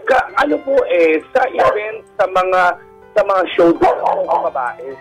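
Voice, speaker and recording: A Filipino man with a husky, effeminate way of speaking, candidly telling his personal story as a guest in an interview. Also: high-pitched, wildly swooping pitch, measured pace. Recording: radio broadcast with music underneath. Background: background music.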